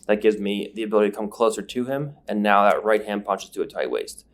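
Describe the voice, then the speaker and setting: A man's voice: fairly low pitch, brisk. A man giving step-by-step voice-over commentary as a grappling instructor, sniffling from a numbed nose. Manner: explanatory, step-by-step breakdown. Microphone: voice-over recorded separately.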